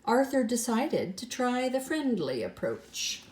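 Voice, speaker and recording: An older woman reading a picture book aloud as a grandmother, expressive and unhurried, with some hesitation. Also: a little high. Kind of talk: storybook read-aloud with soft asides.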